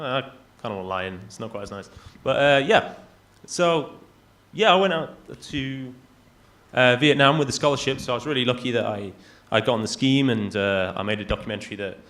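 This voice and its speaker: A young Englishman talks to a live audience about his travel films. His voice sits at an ordinary middle pitch, and he speaks haltingly, filling the pauses with ums and uhs. He keeps slipping in wry, self-deprecating remarks. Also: fairly bright tone. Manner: hesitant, lots of ums and self-deprecating asides. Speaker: travel videographer giving a talk. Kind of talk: casual live presentation. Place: event venue in front of an audience.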